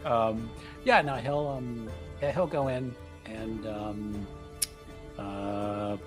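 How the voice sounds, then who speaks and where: A man's voice: on the low side, drawn-out words, over music. A man playing in a tabletop game, joking and laughing often. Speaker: player. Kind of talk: casual chat, playing a character.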